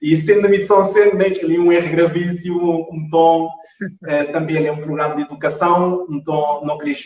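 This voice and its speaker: A man with a slightly high voice talks informally as an interview guest. He sounds set back from the microphone in an echoey room, with a somewhat muffled online-call sound. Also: level tone, fairly quick, a little mellow. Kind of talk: casual interview talk. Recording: muffled, roomy, distant mic on stream.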